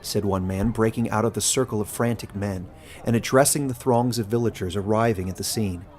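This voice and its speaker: A man's voice: fairly low pitch, a little fast, a little mellow. A man narrating a scripted fantasy story as its author and reader.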